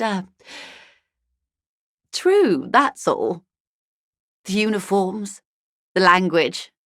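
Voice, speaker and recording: A woman's voice: on the low side, a bit bright, no echo, studio quality, exaggerated voice. A woman playing a refined, worldly upper-class lady in a video game, speaking with composed, eloquent, dryly philosophical poise. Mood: weary, hesitant, then wry, gracious. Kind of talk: video game dialogue, measured explanatory monologue.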